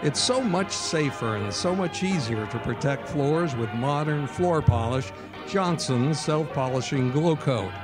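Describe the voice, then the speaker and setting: A man playing a 1940s radio announcer, reading commercials in a polished, upbeat pitchman delivery on a live stage. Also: expressive, a little slow, over music. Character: cheerful male radio announcer, smooth salesman. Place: live stage performance in a ballroom.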